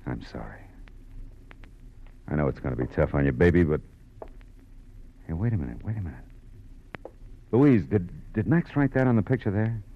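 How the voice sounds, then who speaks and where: Male private detective, low-pitched, cool and commanding, terse noir delivery, dull vintage radio sound. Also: lively intonation, moderate pace, long pauses. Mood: cool, insistent. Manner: clipped, tough, world-weary. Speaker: lead actor and narrator. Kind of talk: 1940s radio noir acting and narration. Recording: muffled old radio recording with music.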